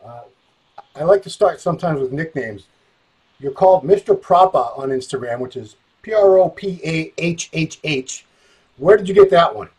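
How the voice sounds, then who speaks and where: Husky guy hosting an interview, kinda scatterbrained, slightly high voice. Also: animated, normal speed, darkish tone, rough recording. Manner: rambling, self-described scatterbrained. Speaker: interview host. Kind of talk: casual interview.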